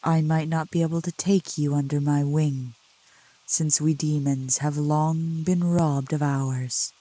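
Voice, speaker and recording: A woman acts out a doting demoness of the woods in an ASMR roleplay. Her voice is soft, low and dark, very close and dry. She speaks slowly and formally, soothing and teasing with a possessive tenderness. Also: fairly even intonation, no echo. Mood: solemn, tender. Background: rain.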